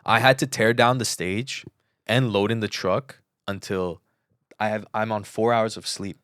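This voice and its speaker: Low-voiced man telling stories, emphatic. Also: fairly even intonation, unhurried, a bit bright, dry recording, studio quality. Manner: emphatic, often repeats words for stress. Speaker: podcast co-host. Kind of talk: casual chat, personal storytelling.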